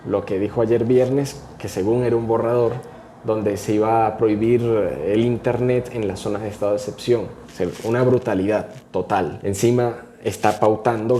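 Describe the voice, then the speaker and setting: A man speaks straight to camera in emphatic, opinionated commentary. His voice sits on the low side and sounds warm and mellow, with a faint hiss behind it. He rushes some phrases and drags others out for weight. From Venezuela. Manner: emphatic, opinionated, varying pace. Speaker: vlogger.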